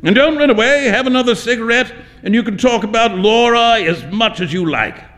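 A jovial young gentleman in a storybook reading. His voice rides high and leaps about animatedly. He teases with hearty, theatrical relish. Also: animated, fairly quick. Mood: jovial teasing. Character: boisterous, teasing English gentleman painter, jovial young man. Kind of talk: dramatic literary story reading.

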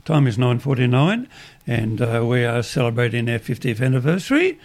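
Male Australian radio host, croaky and gravelly, relaxed studio chat. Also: medium pitch, brisk.

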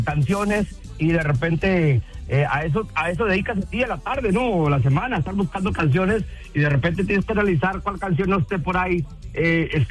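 High-voiced man on the phone. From Durango, Mexico. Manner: relaxed, rambling, friendly. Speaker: band vocalist, phone guest. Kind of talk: phone-in radio interview.

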